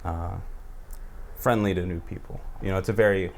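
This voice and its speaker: Male American podcast host, fairly low voice, informal conversational delivery, a little noise in the recording. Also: fairly even intonation, moderate pace. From United States. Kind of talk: casual chat.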